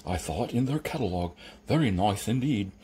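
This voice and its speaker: A husky storybook creature servant, acted out by a man, stitched together and endlessly obsequious. The delivery swings widely in pitch, formal and unflappable, with a grumbling edge as it sells its own services. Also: lively intonation, a little fast, warm tone. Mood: smooth, unflappable. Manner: deferential, formal, salesmanlike.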